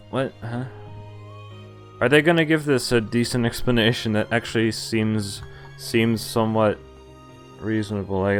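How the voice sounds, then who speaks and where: Man reading a visual novel aloud, music behind. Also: fairly low pitch. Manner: dramatic read-aloud broken by incredulous asides. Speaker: game streamer. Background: game music.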